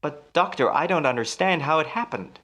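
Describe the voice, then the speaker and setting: A man's voice: a little high, expressive, a little fast, fairly bright tone. An actor in a radio play as a jealous husband, musing theatrically and teasing his wife with menace. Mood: concerned.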